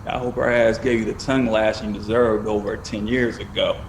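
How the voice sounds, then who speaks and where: A man's voice: fairly low pitch, level tone, a little fast, slight background noise, lightly acted. A man acting in an audio drama as a blunt, tired cop who needles his friend in colloquial, probing talk. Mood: incredulous, scolding.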